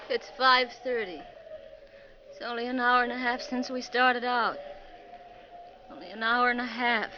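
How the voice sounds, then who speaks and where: Female passenger actress's role, 1940s radio mystery: young, overwrought, earnest, high and dramatic, slightly hissy old recording. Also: steady tone, unhurried, natural voice. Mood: despairing. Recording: muffled, noisy 1949 radio recording.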